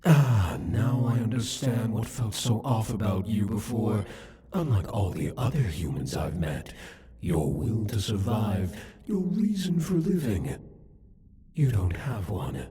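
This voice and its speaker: A man playing a cruel, possessive demon king in an ASMR roleplay, speaking to the listener in a breathy, taunting, condescending way. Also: fairly low pitch, very animated, dark tone. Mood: musing, condescending. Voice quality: breathy, husky. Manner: taunting, condescending, deliberate, theatrical.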